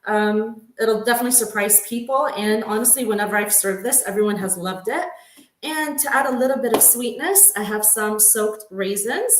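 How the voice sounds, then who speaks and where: A woman's voice: mid-range voice, reverberant, slight background noise, low-quality recording. A woman giving a casual home cooking demo, chatty, with frequent ums and light laughs. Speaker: home cook demonstrating a recipe. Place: home kitchen.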